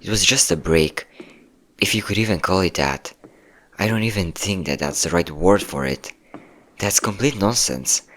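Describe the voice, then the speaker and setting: A man's voice: low-pitched, expressive, normal speed, fairly bright tone. A man playing a possessive, manipulative ex-boyfriend in a dramatic ASMR roleplay, coaxing with pet names and sweet-talking menace. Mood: coaxing, controlling. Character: possessive, manipulative yandere ex-boyfriend hunting his hidden partner.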